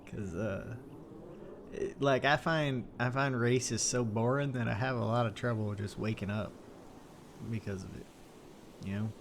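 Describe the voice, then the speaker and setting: A man's voice: mid-range voice, unhurried, long pauses, slight background noise, lightly acted. A man playing a rambling, hesitant easygoing guy. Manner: hesitant, rambling, repeats himself. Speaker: roleplay character. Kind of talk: improvised roleplay chat.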